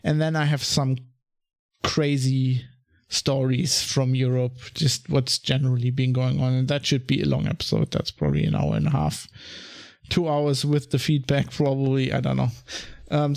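Male podcast host, German accent, gravelly, rambly with lots of ums. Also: medium pitch, normal speed, darkish tone. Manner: rambling, many ums and restarts. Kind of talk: casual solo podcast monologue.

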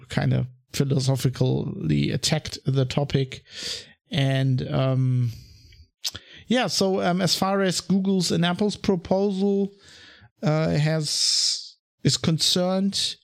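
Male podcast host, German accent, gravelly, rambly with lots of ums. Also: fairly high pitch. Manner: rambling, many ums and restarts. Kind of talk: casual solo podcast monologue.

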